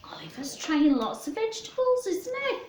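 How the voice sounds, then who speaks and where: A woman storyteller reading expressively to young children, with gently questioning asides, in an echoey room. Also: very high voice, moderate pace, a little hiss or hum. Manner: animated, gently questioning, addressing small children.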